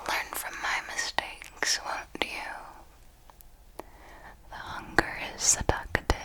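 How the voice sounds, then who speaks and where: A woman playing a refined, predatory vampire mentor in an ASMR roleplay, whispering in a slow, measured, intimate way. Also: mid-range voice, a little mellow, poor audio. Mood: gently cautioning. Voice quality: breathy whisper. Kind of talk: ASMR roleplay, scripted monologue.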